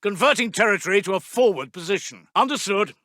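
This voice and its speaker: A man playing a brisk, dutiful army intelligence officer in a strategy game, giving formal, clipped status announcements. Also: sits high, expressive, sharp tone, no echo, studio quality. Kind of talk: scripted military status announcements.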